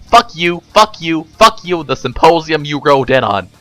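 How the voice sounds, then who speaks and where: A man's voice: fairly high pitch, lively intonation, unhurried, sharp tone, no room sound, soft background music. A man voicing a defiant, foul-mouthed pony in an indignant, blunt, emphatic manner for a story reading. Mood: defiant, angry. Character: defiant, foul-mouthed male pony, irritated by pompous arbiters.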